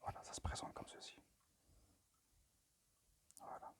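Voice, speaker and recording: Man whispering a hesitant ASMR presentation. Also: a little slow. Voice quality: breathy unvoiced whisper. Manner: hesitant, unpractised, apologetic. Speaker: amateur ASMR creator and game collector. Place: at a desk indoors. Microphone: phone microphone.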